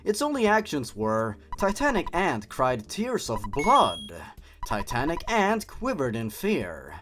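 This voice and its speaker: A man's voice: fairly high pitch, wildly swooping pitch, takes it slowly, background noise. A man hosting a dramatic reading of a horror story, dropping in sarcastic, exasperated comments of his own. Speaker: host. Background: music.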